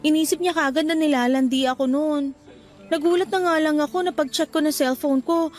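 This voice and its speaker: An actress with a Filipino accent playing a meek, forgiving young woman in a radio drama, apologetic and soft-hearted. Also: high-pitched, natural voice. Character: meek, forgiving young woman excusing her jealous boyfriend.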